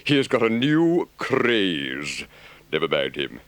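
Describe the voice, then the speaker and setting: A man voicing a male water rat who blusters and then speaks calmly and firmly. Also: low voice, slow pace, creaky, no echo, heavily acted. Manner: blustering outbursts, then calm and firm. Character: indignant male water rat, practical and firm. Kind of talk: storybook character voice.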